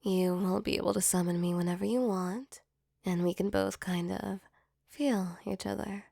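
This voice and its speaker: Creaky, tender demon comforter played by a woman. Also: medium pitch, steady tone, normal speed, mellow, dry recording, clean studio sound. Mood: sympathetic, caring. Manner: soothing, tender, reassuring. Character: gentle female sleep paralysis demon, affectionate and protective. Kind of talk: ASMR comfort roleplay, soft intimate acting.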